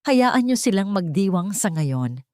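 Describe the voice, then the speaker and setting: A woman's voice: mid-range voice, very animated, measured pace, darkish tone, no echo, clean studio sound. A woman with a Filipino accent voicing a wronged, determined provincial mother with an intense, resolute manner in an audiobook. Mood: resolute, vengeful.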